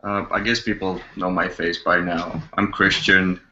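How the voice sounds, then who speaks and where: Young male developer. Very low, sharp-edged voice, informal delivery, dull audio. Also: little pitch movement, measured pace, a little hiss or hum. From Romania. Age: young adult. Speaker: back-end developer. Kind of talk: informal self-introduction and remarks.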